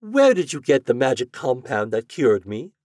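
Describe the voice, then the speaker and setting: A man voicing a spoiled, imperious rich young woman in a read-aloud storybook, pitching his voice up and speaking in loud, demanding tones. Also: very animated, moderate pace, dry recording, studio quality, natural voice. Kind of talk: storybook reading.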